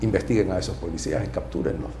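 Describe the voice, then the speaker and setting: A man from Nicaragua, a Jesuit priest, answering interview questions in a soft-spoken, measured way. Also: low-pitched, reverberant, slight background noise. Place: TV studio.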